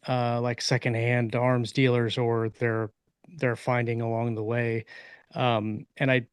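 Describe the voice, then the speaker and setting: A man's voice: mid-range voice, clean tone, dry recording, clean studio sound. A man co-hosting a casual podcast, thinking aloud with frequent ums and uhs as he explains. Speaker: podcast co-host, author.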